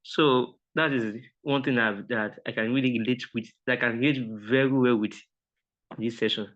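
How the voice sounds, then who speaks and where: A man's voice: medium pitch, steady tone, normal speed. A man, a mentorship participant, sharing a reflection politely and hesitantly, with many restarts. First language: Yoruba. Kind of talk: sharing a reflection on a video call. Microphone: online call audio. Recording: dull lo-fi call audio.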